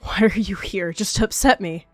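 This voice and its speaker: A woman's voice: medium pitch, moderate pace, no echo, voice pushed up, natural voice. Guarded she-cat , played by a woman: dry close sound, anxious, earnest, faint music behind. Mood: tense, accusing. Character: anxious, guarded female warrior cat with a secret. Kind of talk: text roleplay acted aloud.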